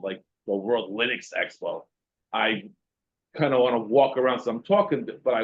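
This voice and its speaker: Lower-voiced man with a loud voice. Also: muffled recording. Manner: loud voice, talks with hesitations and fillers. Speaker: workshop participant. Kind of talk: conversational question in online meeting.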